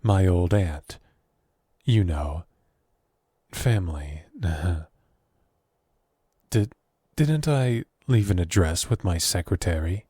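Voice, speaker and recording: A man playing a wary, evasive captive governor in an audio drama, guarded and hesitant, with a husky voice. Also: deep voice, animated, takes it slowly, pauses often, warm tone, no room sound, studio quality, exaggerated voice. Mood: nervous, evasive. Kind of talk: audio drama roleplay acting.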